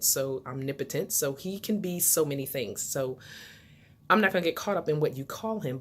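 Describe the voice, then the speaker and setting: A woman speaking as a motivational host to her viewers, in a deliberate, instructive way that stresses key words. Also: low voice. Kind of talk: motivational talk to camera.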